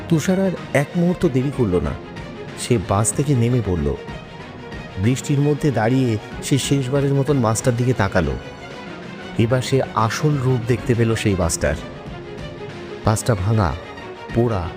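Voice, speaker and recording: A man's voice: medium pitch, animated, measured pace, long pauses. A man narrating a scripted horror story in a dramatic style. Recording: long reverb, music bed.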